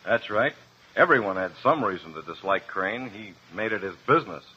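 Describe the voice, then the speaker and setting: Low, husky, terse doctor. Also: male voice, lively intonation, moderate pace, pushed and bright, heavily acted. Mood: guarded. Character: curt, guarded male doctor witness. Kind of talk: 1950s radio drama acting. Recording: muffled vintage 1950s radio recording.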